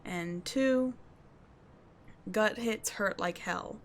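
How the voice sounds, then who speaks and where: A woman's voice: mid-range voice, very slow, slight background noise. A woman playing a tough but caring teenage delinquent schoolgirl in an ASMR roleplay, speaking in a slangy, blunt yet soothing way. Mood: brisk, teasing. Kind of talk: ASMR roleplay audio drama.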